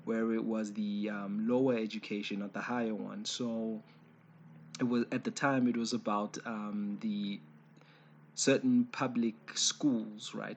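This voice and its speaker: Slightly raspy South African man. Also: lowish voice, a little mellow, slight background noise. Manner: hesitant, conversational, self-correcting. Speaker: online commentator. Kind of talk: informal spoken opinion piece.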